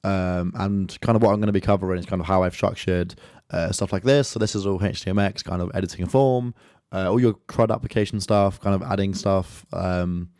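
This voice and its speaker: Croaky low-voiced man explaining code. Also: fairly even intonation, fairly quick, a little mellow, dry recording, studio quality. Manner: loose and conversational, lots of ums and "kind of". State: croaky voice from a sore throat. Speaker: software developer walking through code. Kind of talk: casual screen-share code walkthrough.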